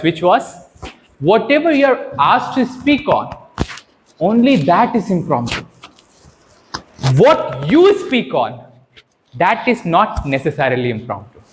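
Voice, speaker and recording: Male trainer, Indian accent, high voice with big melodic swings; emphatic stage delivery, deliberate pauses. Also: a bit bright. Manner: theatrical, emphatic, rhetorical questions and deliberate pauses. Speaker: public speaking trainer at a Toastmasters session. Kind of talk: live workshop talk, impromptu teaching with personal anecdotes.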